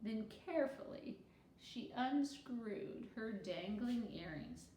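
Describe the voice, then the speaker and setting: Female children's storyteller, teacherly and unhurried, animated pitch, recorded in an echoey room. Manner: deliberate, teacherly, explanatory. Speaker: read-aloud storyteller. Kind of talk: expressive children's read-aloud with teacherly commentary. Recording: roomy echo, faint background noise.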